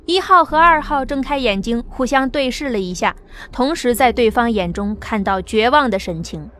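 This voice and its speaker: A woman narrating an audio drama in a steady storytelling manner. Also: a little high, moderate pace.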